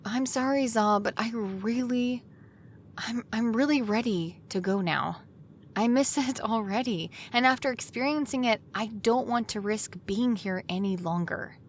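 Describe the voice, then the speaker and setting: A trusting best friend in an audio drama, a woman with a fairly high voice. She sounds casual and sure of herself, brushing doubts aside, over faint background ambience. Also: unhurried, slight background noise. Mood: resolved. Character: trusting, firmly decided young woman, loyal best friend. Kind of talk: audio drama acting, conversational.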